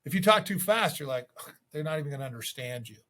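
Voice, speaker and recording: A man's voice: fairly high pitch, expressive, quick pace, fairly bright tone. A man giving instructional sales tips as a coach, in a conversational manner. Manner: conversational, acts out sample calls. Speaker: real estate sales coach.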